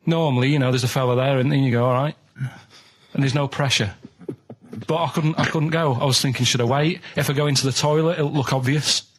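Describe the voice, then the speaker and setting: A man's voice: mid-range voice, steady tone, fast talker. A man with a Mancunian accent telling casual anecdotes as a radio sidekick, earnest and rambling, checking in with short tags as he goes. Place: radio studio. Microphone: studio microphone.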